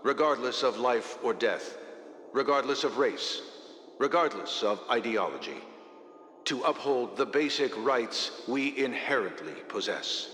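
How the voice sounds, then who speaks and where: An actor playing a grave, formal news announcer in a game. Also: male voice, medium pitch, lively intonation, unhurried, fairly bright tone, roomy sound, long reverb tail, slight background noise. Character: male news broadcast announcer.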